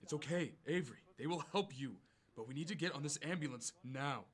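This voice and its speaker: A middle-aged man playing a concerned father in an audio drama, husky, firm and soothing. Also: on the high side, brisk, lightly acted. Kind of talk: scripted audio drama acting.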